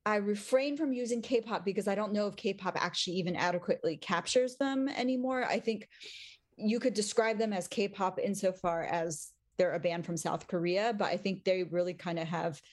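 A woman in her forties, an English professor and podcast guest, chatting casually and thoughtfully, with many pauses and frequent laughs. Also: medium pitch. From Taiwan, raised in the US. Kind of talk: casual conversation.

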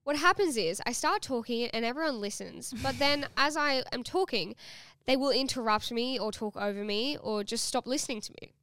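A woman's voice: fairly high pitch, normal speed, fairly bright tone, smooth, no echo. A young woman hosting a podcast, chatting playfully and reading out a listener's letter. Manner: bubbly, giggly, teasing. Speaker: podcast host.

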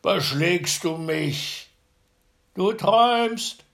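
A man's voice: sits high, very slow, a bit bright, voice pushed up, full character voice. A man voicing two huge, sleepy, quarrelsome giants who grumble at each other in a fairy tale. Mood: grumbling.

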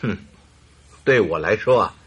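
A man's voice: on the low side, animated, unhurried, slightly rough, muffled recording, full character voice. An actor playing a grumbling but good-humoured male detective's sidekick in a radio drama, with a teasing manner. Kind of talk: Chinese radio drama acting.

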